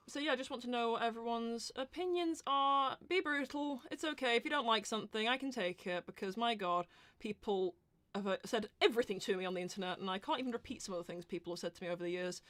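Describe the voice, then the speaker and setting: A woman's voice: mid-range voice, a bit bright, dry recording. A woman hosting a live roleplay stream, chatting casually and candidly as she answers questions in rambling explanations. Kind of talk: casual livestream Q&A chat.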